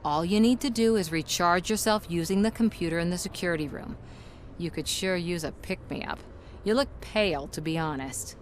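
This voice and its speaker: A woman's voice: fairly low pitch, moderate pace, slight background noise, close to an everyday voice. An actress playing a wry, determined woman in a video game, talking in a casual, coaxing way. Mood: wry, persuasive.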